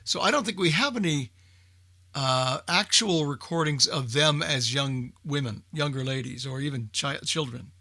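A man hosting a casual, unscripted radio talk show, speaking in a halting way and repeating words as he thinks. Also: fairly high pitch, pushed and bright, no echo.

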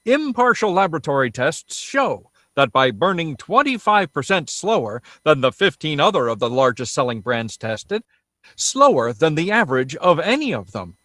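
An American man announcing on vintage radio. His voice is fairly high and lively, with polished, emphatic scripted delivery and a very dry sound. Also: no echo. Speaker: announcer and narrator. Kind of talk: 1940s radio ad and scripted narration.

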